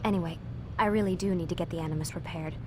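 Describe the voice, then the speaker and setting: Quick, guarded young woman actress, lab assistant. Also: lowish voice, steady tone, noisy background, natural voice. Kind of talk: naturalistic video game voice acting.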